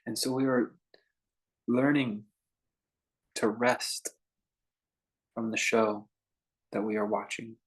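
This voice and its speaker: A man guiding a meditation in an informal, conversational way, speaking in short phrases with unhurried pauses between them. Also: fairly low pitch, fairly even intonation, rough recording. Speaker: meditation teacher leading a guided meditation. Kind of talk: unscripted guided meditation talk.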